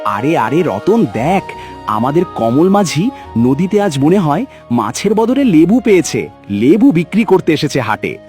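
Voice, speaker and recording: A man's voice: on the high side, big pitch swings, unhurried. A man voicing a jealous, scheming village troublemaker in a cartoon, sneering and conspiratorial. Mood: mocking. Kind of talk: Bengali cartoon dialogue. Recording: clear music behind the voice.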